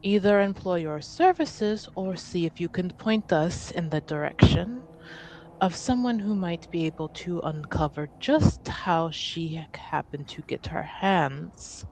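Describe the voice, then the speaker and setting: A woman plays a formal noblewoman of a fantasy roleplaying game, weary and guarded. She speaks slowly and with measured care in a fairly low voice. Faint noise sits in the background. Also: darkish tone, low-quality recording. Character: weary, formal noblewoman acting for her house.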